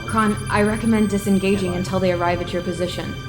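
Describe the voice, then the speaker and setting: A young female space-fleet officer, acted by a woman reports in an urgent, businesslike way at a medium pitch. Clear dramatic music plays underneath in this sci-fi radio drama. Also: steady tone, fast talker, close to an everyday voice. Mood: tense, focused. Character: young female fleet science officer, tense but methodical. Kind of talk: sci-fi audio drama acting. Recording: clear music bed behind.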